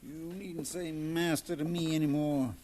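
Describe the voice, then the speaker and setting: An actor playing a suspicious, commanding slave owner, stern and threatening, in a 1950s radio drama. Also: male voice, a little high, steady tone, measured pace, mellow, soft background music, lightly acted. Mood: cold, threatening. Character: suspicious, commanding Southern slave-owning colonel.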